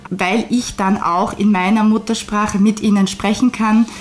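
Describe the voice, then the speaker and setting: A woman whose first language is Hungarian, a guest briskly and fluently listing details of her agency's services. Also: mid-range voice, steady tone. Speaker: guest, care agency co-owner.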